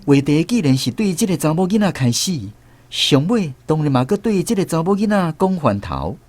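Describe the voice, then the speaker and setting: A man's voice: fairly high pitch, sing-song, fairly quick, darkish tone. An actor with a Taiwanese accent narrating a radio drama as a man recalling his army days, in a storytelling manner. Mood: reflective storytelling. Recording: music behind the voice.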